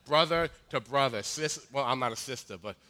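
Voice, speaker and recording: A man in his forties with a high voice, preaching a sermon in a joking, conversational way with many restarts. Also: a little fast, bright, forceful tone. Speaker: pastor. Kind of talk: sermon with humorous asides.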